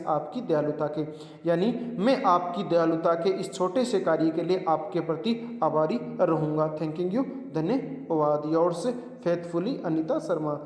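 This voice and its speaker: High male voice, Indian accent, native Hindi speaker, English teacher, didactic, repeats phrases piece by piece, long room reverb. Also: darkish tone. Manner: didactic, repeats phrases slowly and deliberately word by word. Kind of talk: bilingual classroom lesson, reading English phrases and explaining them.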